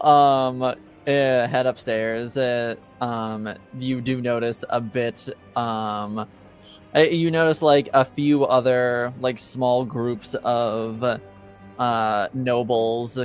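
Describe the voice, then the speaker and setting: A man narrating a tabletop roleplaying game as game master in a hesitant, halting, low-key way. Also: fairly even intonation, faint music behind.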